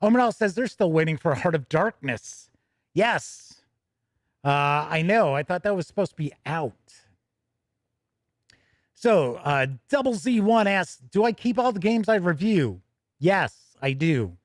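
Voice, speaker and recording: A man's voice: high-pitched, very animated, unhurried, no echo, studio quality. A man hosting a tabletop gaming news show, mixing emphatic reading of copy with chatty, self-teasing asides. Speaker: host.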